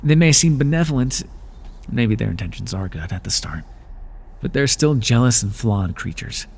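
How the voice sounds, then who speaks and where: A man voicing a proud, weary male god of the underworld in an ASMR roleplay, with a dry, aloof manner and a quiet curiosity. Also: mid-range voice, lively intonation, normal speed, vocal fry, breathy edge. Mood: curious.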